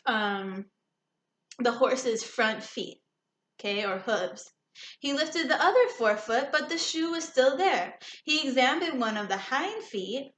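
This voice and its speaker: A woman with a fairly high voice reads aloud as a teacher to young children. She explains things simply and checks in with questions, in an echoey room with faint background noise. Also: fairly bright tone. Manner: instructive, checks understanding often.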